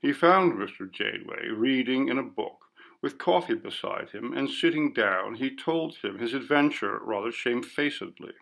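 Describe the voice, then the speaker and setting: A man reads classic ghost fiction as an audiobook narrator. His mid-pitched voice has a noticeable creak, and he tells the tale in a measured, literary way. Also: animated, fairly bright tone, poor audio.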